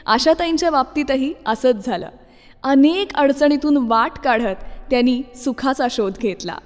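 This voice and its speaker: A woman presents a radio music show, speaking expressively and conversationally to her listeners. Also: on the high side. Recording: long reverb, music behind.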